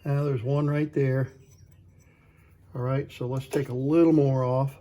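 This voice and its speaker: A man hosting a hands-on repair demonstration in his workshop, talking to himself in an unhurried way as he works. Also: mid-range voice, flat delivery, mellow. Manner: unhurried, talks to himself while working. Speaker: host. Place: workshop with a lathe.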